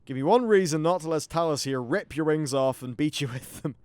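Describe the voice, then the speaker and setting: Male gaming host, slightly high voice, casual play-by-play, wry and free with swearing. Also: fairly quick, a little mellow, smooth, no echo. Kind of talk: casual game commentary.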